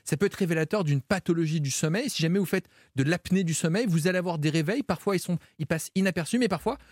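A man giving medical advice as a doctor on a radio health show, explaining things briskly. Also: fairly high pitch, darkish tone, husky, no echo, studio quality. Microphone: studio mic.

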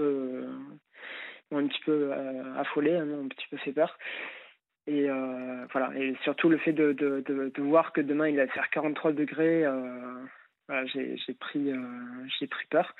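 A man in his late twenties calling in to a radio show, speaking haltingly with many fillers and restarts. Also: medium pitch, dry recording. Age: late 20s. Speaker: caller. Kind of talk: phone-in call. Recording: tinny phone line.

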